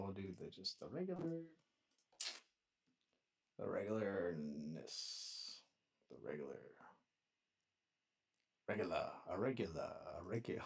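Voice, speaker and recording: Gravelly-voiced man thinking aloud. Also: low voice. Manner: thinking aloud, self-deprecating, rambling. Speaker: songwriter and producer. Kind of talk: casual working commentary.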